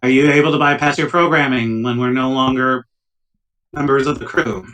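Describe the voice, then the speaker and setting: Bright-voiced man, away from the mic. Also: medium pitch, steady tone, moderate pace. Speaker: tabletop game player. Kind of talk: casual game chat, in and out of character. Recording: distant mic on laptop recording.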